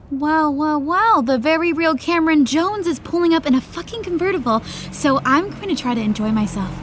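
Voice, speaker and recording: An upbeat woman friend, with a high voice, coaxing and pleading expressively. It sounds like naturalistic audio drama dialogue. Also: animated, moderate pace, a little hiss or hum. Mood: excited, pleading.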